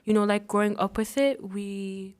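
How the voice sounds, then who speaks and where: A woman's voice: mid-range voice, flat delivery, moderate pace, darkish tone, clean tone. A teenage female student from the Republic of the Congo, giving casual answers as an interview guest. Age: teens.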